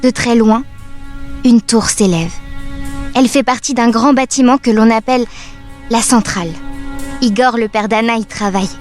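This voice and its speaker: A woman playing a watchful doll who narrates an audio drama in a measured, tender, descriptive way. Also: a little high, a little mellow, faint music behind, natural voice. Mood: calm, watchful.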